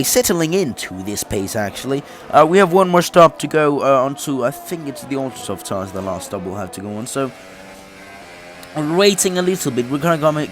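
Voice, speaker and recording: A young man commentates over his racing game footage, his fairly high voice a little off because he is sick. He is chatty, laughs often and restarts his sentences. Faint engine noise from the game hums behind him. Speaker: gaming YouTuber.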